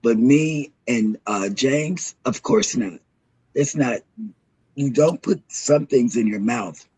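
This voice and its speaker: A man hosting a morning show in casual, rambling chat, with a throaty, hoarse voice from a sore throat. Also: medium pitch, lively intonation, slow pace, darkish tone, rough recording.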